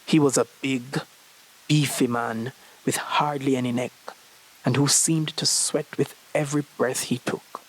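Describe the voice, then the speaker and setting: A man's voice: medium pitch, fairly even intonation, unhurried, low-quality recording. A young man reading a scripted short story aloud as its narrator. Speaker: story reader.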